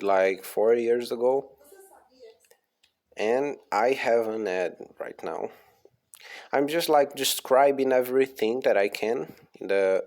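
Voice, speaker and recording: A man's voice: lowish voice, a little mellow. A man with a Portuguese accent, an English learner reading aloud and commenting casually, hesitant and repeating words to practice them.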